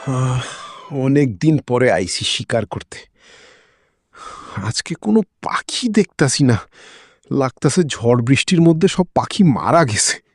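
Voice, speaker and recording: A man's voice: medium pitch, big pitch swings, a little slow, long pauses, slightly rough, dry recording, studio quality, exaggerated voice. A man playing a kind-hearted, earnest young son in a cartoon, with a questioning manner and a Bangladeshi accent. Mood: urgent, concerned. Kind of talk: cartoon voice acting.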